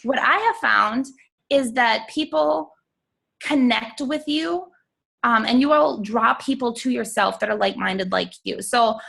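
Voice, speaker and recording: Bright, creaky American woman, higher voice. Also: unhurried. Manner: candid, conversational. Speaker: coach, guest speaker. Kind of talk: informal personal testimonial. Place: online video call.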